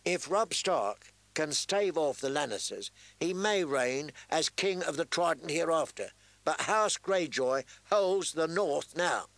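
A man's voice: a little high, lively intonation, measured pace, fairly bright tone, no echo, voice pushed up. A man voicing an arrogant young prince who mocks and threatens his captives. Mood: commanding. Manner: sneering, commanding.

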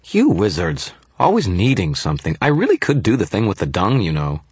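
High, indignant little fairy. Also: male voice, huge pitch swings, brisk, vocal fry, a little mellow, breathy edge, no room sound, exaggerated voice. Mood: haughty. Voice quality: high-pitched, little-kid voice. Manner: indignant, grandiose, complaining. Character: tiny indignant male fairy, childlike, haughty and greedy. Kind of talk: audiobook character voice.

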